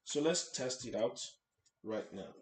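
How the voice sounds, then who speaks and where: A man's voice: medium pitch, big pitch swings, a little slow. A man hosting an informal hands-on electronics tutorial, explaining things step by step and checking in as he goes. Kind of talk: informal hands-on demonstration narration. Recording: roomy echo, faint background noise.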